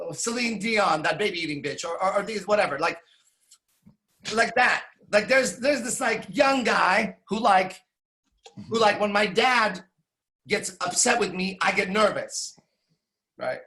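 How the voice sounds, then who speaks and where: High, bright-voiced man ranting profanely. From Iran. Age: 40s. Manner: profane, rambling, animated, with frequent tag questions. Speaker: podcast host. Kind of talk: candid storytelling monologue.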